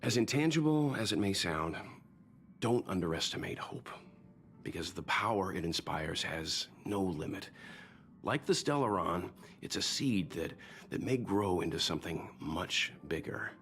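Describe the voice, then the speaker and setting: Deep, wise, steady male mentor. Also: heavily acted. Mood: earnest, encouraging. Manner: measured, reflective, reassuring. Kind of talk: scripted video game dialogue. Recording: faint music underneath.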